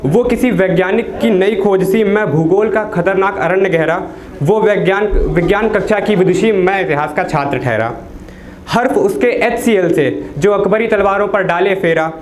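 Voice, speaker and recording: A teenage man from India reciting poems at an open mic, declaiming each line and dropping low on a repeated refrain. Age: teens. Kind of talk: reciting Hindi poetry. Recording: echoey room with faint noise.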